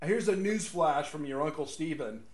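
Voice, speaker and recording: A middle-aged American man hosting a casual solo radio show, rambling and folksy, with a slightly hoarse voice. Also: on the high side, moderate pace. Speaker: radio and podcast host.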